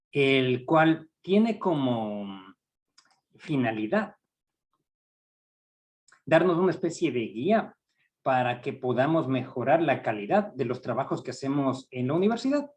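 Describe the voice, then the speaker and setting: A middle-aged man with an Ecuadorian accent leading a university writing seminar, speaking in a measured, hesitant way with frequent fillers. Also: mid-range voice, a little mellow. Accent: Ecuadorian Spanish. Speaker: university research director giving a workshop. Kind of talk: academic training seminar.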